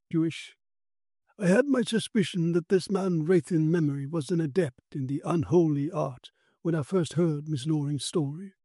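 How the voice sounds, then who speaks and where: A man voicing a commanding, learned and grave occult investigator in a dramatised story, emphatic and with hesitant pauses. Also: fairly high pitch, warm tone, dry recording, clean studio sound. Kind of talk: dramatised audiobook character.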